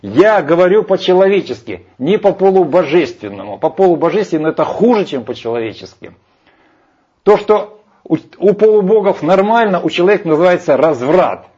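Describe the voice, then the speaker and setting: A man's voice: sits high, big pitch swings, brisk, a little mellow, husky. A man arguing emphatically in a heated discussion, rambling with hesitations. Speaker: speaker lecturing on Vedic scripture. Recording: dull lo-fi sound.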